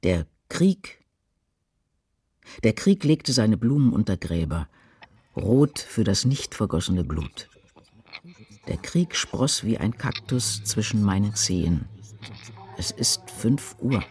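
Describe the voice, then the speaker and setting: A man performing dramatic poetry in a radio play as a grave witness of war, with intense, deliberate declamation. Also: fairly low pitch, sing-song, darkish tone. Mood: stark. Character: grave male poetic witness of war and apocalypse.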